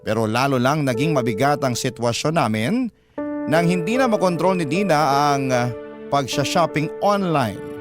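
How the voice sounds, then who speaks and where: A man's voice: a little high, moderate pace. A man narrating a first-person love story in a scripted radio storytelling style. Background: background music.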